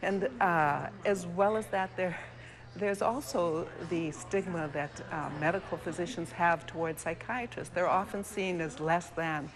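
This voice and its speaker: Clear crowd chatter sits behind a woman. She answers like a medical expert on live news, earnest and a little halting. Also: on the low side. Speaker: physician, clinical director, interview guest.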